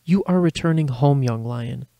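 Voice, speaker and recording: A man's voice: mid-range voice, moderate pace, gravelly, dark tone, no room sound, muffled recording, natural voice. A man voicing characters in a dramatic reading of a fan fiction story. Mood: stern, disapproving.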